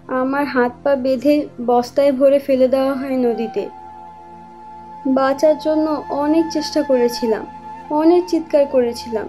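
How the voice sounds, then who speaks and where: A high-voiced ghost of a wronged young woman, acted by a woman, for a horror cartoon. Her soft, mellow tone carries sorrow and quiet reproach. Music plays clearly behind her measured telling. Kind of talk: dramatic horror cartoon voice acting.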